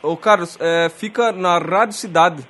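A man from Brazil, a radio comedian making a prank call, speaking slowly and hesitantly with exaggerated politeness and many restarts. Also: sits high, fairly bright tone.

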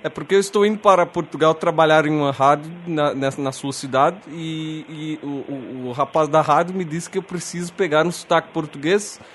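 A Brazilian man with a fairly high voice speaks slowly and haltingly, stumbling over his words with exaggerated politeness. Faint background noise sits behind him. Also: steady tone, fairly bright tone. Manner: slow, hesitant and overly polite, with many restarts. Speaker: radio comedian making a prank call. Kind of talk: prank phone call.

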